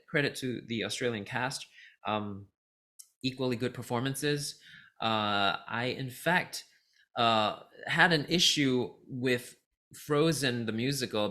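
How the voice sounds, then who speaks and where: A man from Singapore talks through a review in a very bright, forward voice at a middling pitch. His delivery is casual and reflective, halting as he gathers his thoughts, then leaning firmly on key words. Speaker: vlogger reviewing musicals, voice actor and teacher.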